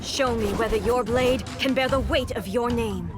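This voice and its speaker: A woman's voice: on the high side, moderate pace, music in the background, lightly acted. A woman voicing a stern, immortal female ruler in a video game, formal, commanding and coldly blunt. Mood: solemn, stern. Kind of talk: dramatic video game acting.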